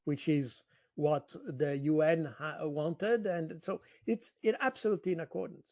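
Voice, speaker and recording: Slow, higher-pitched man in dry sound. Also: steady tone, darkish tone, no room sound. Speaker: interviewed political analyst. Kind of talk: explanatory political commentary in an interview.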